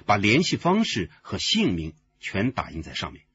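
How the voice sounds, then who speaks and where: A man acting in a radio drama as a sharp-minded detective laying out case findings. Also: lowish voice, huge pitch swings, normal speed, highs cut off. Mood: explaining.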